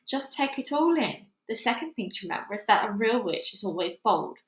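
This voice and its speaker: A woman's voice: on the high side, very animated, brisk. A woman voicing a stern, knowing old grandmother in a storybook, brusque and instructive. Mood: brusque, scolding. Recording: roomy, echoey home recording.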